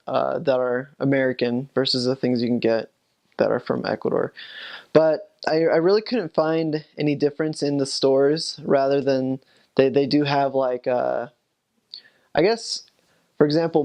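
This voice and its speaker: A man's voice: medium pitch, creaky, a little mellow. A young man, a returned missionary, recalling his mission in a rambling, casual way with many fillers and restarts. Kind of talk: casual recollection.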